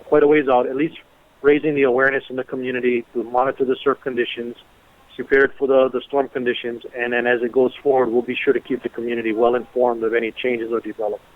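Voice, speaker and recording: A man speaking as an emergency management official, giving a measured, deliberate press briefing over a conference call. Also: medium pitch. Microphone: telephone. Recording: muffled narrow-band conference phone line.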